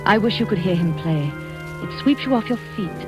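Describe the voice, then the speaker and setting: A woman's voice: fairly low pitch, animated, moderate pace, natural voice. An actress playing a genteel, impressionable young Victorian lady in an earnest, polite 1940s radio style. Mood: enraptured. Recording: dull old radio recording, music behind.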